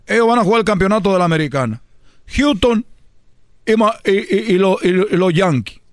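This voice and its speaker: A man guesting on a comedy radio show, talking fast in joking banter, stumbling over repeated words and calling everyone "chico". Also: high voice, expressive, dry recording, clean studio sound. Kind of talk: rapid comic banter.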